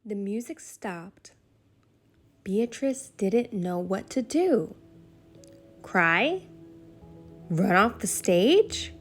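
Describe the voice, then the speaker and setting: Female storybook reader for young children; slow, highly expressive delivery over soft music. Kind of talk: expressive children's bedtime story read-aloud.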